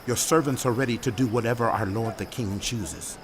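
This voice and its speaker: An actor playing an obedient, deferential royal official in an audio scripture drama. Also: male voice, mid-range voice, fairly quick, a little hiss or hum, natural voice.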